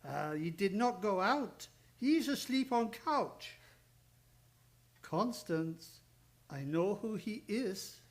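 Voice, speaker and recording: Guy doing a old professor in radio play, fussy n shrewd, slow broken english, pitch bobs all over. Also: lively intonation, exaggerated voice. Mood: drowsy, knowing. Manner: broken English with dropped articles, deliberate. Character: elderly male professor, fussy, shrewd, affectionately exasperated. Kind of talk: old-time radio drama acting.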